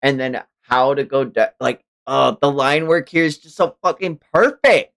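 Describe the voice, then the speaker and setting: A man's voice: medium pitch, moderate pace, vocal fry, fairly bright tone. A man hosting a live read-along, reacting casually and expressively, with exclamations and some swearing. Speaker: livestream host reading manga aloud.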